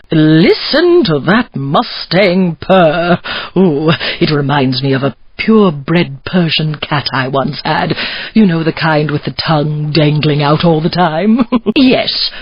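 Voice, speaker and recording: Elderly upper-class English granny, genteel, condescending, animated intonation, video game character, dry muffled recording. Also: female voice, deep voice, breathy edge, no room sound, exaggerated voice. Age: older. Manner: prim, plummy, condescending. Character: genteel, posh old English grandmother, grand and quaintly cheerful.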